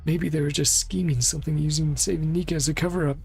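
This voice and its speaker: A wary city guard from a fantasy game, played by a man reading aloud, grumbles and reasons defensively. The voice sits higher than his own and has a creaky edge, with music underneath. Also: steady tone, fast talker. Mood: suspicious.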